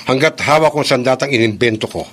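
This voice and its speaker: A man's voice: mid-range voice, fast, a bit bright, natural voice. An actor with a Filipino accent playing a defiant, protective grown son in a horror radio drama, declaiming his actions aloud. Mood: firm, reassuring. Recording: very noisy background ambience.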